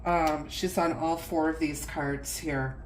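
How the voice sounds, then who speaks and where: A woman's voice: deep voice, fairly even intonation, takes it slowly, echoey room, a little hiss or hum. A middle-aged woman, a little stuffy from the flu, giving a casual tarot reading and musing aloud over the cards. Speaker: tarot reader.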